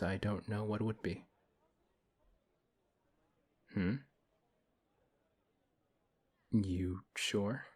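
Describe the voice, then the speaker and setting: A very low, husky voice for a big, strong paladin, acted by a man in a fantasy audio roleplay. He talks one-to-one in a measured, earnest manner, pausing as he thinks. He comes across as principled and gently protective. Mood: sincere, gentle. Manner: measured, earnest, hesitant pauses.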